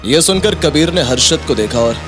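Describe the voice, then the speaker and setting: A man's voice: on the high side, animated, moderate pace, over loud music. A man giving scripted, dramatic narration of an audio story.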